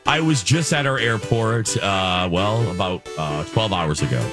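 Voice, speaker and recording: A man hosting a morning radio show, chatting casually and effusively, often halting and repeating himself. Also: lowish voice, animated, music in the background. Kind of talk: casual chatty radio talk with personal anecdotes.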